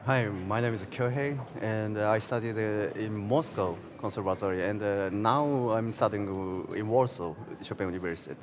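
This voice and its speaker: A young man talking as a pianist guest. He speaks haltingly and searches for his words. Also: on the low side. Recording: steady background noise.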